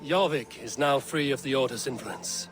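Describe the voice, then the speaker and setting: A man voicing a stern, self-assured Viking warrior leader in a video game, speaking in a measured, grave way. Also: mid-range voice, soft background music, natural voice. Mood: businesslike.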